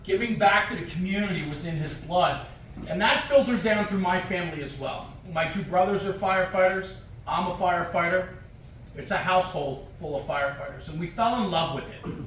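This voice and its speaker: A man's voice: high voice, a little fast, a bit bright, slight background noise. A man in his forties giving an emphatic motivational speech at a fire department event, using punchy, repeated short phrases. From United States. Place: large echoey hall with an audience. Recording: very echoey hall, distant mic.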